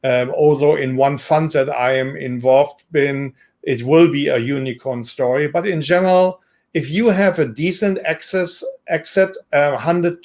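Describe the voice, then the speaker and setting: German-accented man, slightly higher voice. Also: a little mellow. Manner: measured, businesslike, with frequent ums. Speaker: investor and entrepreneur. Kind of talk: self-introduction to camera.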